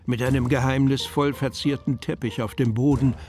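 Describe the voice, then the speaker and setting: A man's voice: mid-range voice, steady tone, normal speed, darkish tone. A man narrating a scripted storybook audio drama as its storyteller.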